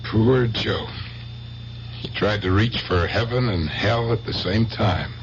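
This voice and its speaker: A man's voice: on the low side, slow pace. An actor playing a grieving, troubled man, somber and doubting, in a radio drama. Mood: mournful, doubting. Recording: dull old radio recording, faint noise.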